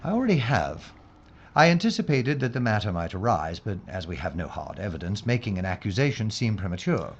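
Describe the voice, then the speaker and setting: An actor playing an earnest, methodical British investigator in a wartime mystery audio drama, speaking formally and gravely. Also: male voice, on the low side, very animated, fairly quick, muffled recording, full character voice.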